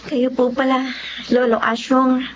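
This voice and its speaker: A woman's voice: high voice, little pitch movement, a little slow. An actress playing a dutiful young Filipino working woman in a radio drama, polite and long-suffering. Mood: weary. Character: weary, dutiful young working eldest daughter. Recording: dull lo-fi audio, clear background noise.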